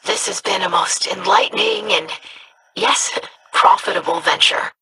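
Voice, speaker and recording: A woman's voice: fairly low pitch, lively intonation, unhurried, sharp tone, slight background noise, exaggerated voice. A woman voicing a scholarly, idealistic young alien scribe in a video game, speaking formally and precisely. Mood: proud, pointed. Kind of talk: video game character dialogue.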